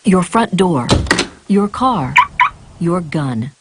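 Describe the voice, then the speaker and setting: A woman's voice: fairly low pitch, expressive, drawn-out words, heavy background noise. A woman reading a scripted public service announcement.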